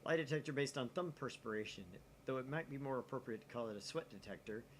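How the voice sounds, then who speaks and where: A man reading a visual novel script aloud as a let's play host, with expressive delivery and casual asides. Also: mid-range voice, quick pace. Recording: noisy room mic, slightly off to the side.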